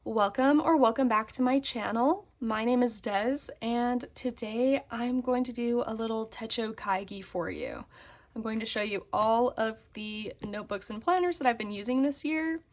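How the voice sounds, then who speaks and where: A young woman, slightly hoarse after a concert, chatting casually as she shows her planners on camera. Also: on the high side, normal speed. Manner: chatty, with fillers and frequent laughs. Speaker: planner vlogger. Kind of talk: casual show-and-tell chat. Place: indoors at a desk, hands on a table.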